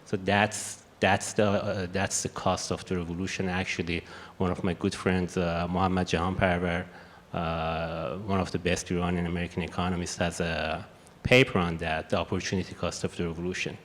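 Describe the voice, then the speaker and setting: A man with a Persian accent speaking on a conference panel, halting, with many restarts and fillers. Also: sits low, little pitch movement, a bit bright. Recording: echoey hall with faint noise.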